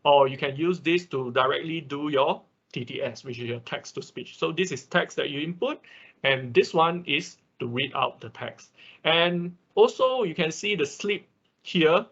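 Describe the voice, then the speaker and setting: Man, slightly high husky voice, online class instructor, informal step-by-step explaining, slightly dull audio. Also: moderate pace, a bit bright. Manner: explanatory, often checking with "okay" and "right". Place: online class, screen-share voice-over.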